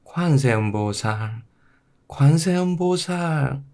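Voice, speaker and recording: A man voicing a devoted, poor mother in an old Korean folktale, speaking in a slow, drawn-out, plaintive way. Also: medium pitch, lively intonation, darkish tone, pitched above the performer's own voice. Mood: resigned chanting.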